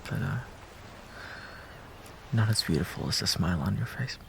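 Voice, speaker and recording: Low-voiced wistful young man acted out by a man. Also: animated, creaky, slight background noise, full character voice. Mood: wistful, hesitant. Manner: hesitant, casual, trailing off with pauses. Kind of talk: intimate roleplay audio drama.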